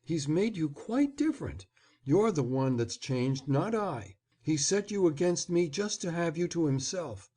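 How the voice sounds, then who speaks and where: A man's voice: sing-song, a little slow, dark tone, exaggerated voice. A man reading aloud, voicing a defiant, resentful young boy who speaks coldly and insistently. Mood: coaxing. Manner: cold and insistent, low intensity.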